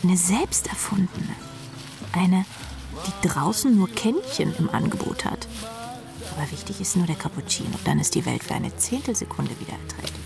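A woman's voice: fairly low pitch, huge pitch swings, normal speed, vocal fry, music in the background, heavily acted. An actress playing a dreamy, sardonic rock drummer narrating her thoughts in a radio drama, dry and intimate, often half-whispering. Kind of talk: radio drama inner monologue.

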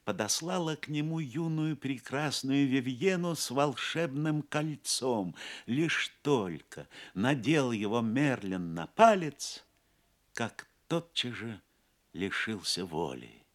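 A man narrating an audio play as a dramatic storyteller, theatrical and measured. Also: mid-range voice, animated.

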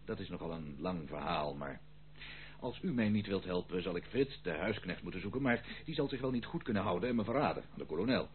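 A man's voice: deep voice, lively intonation, brisk. An actor playing a roguish, secretive male intruder in a radio play, nonchalant, teasing and theatrical. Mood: cajoling. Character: roguish, secretive prodigal intruder, jaunty and sly. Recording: tinny old radio recording, faint noise.

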